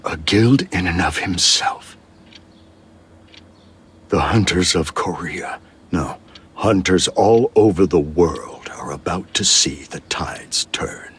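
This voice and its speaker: An actor playing an authoritative male superior in an anime game, formal, measured and weighty. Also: low-pitched, fairly bright tone, slightly rough, exaggerated voice. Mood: awed gravity. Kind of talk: anime game cutscene acting.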